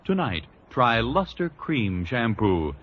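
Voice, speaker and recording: A man's voice: medium pitch, very animated, slow. A man announcing a 1940s radio commercial with polished, emphatic salesmanship. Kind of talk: 1940s radio advertising read. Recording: muffled old radio recording with hiss.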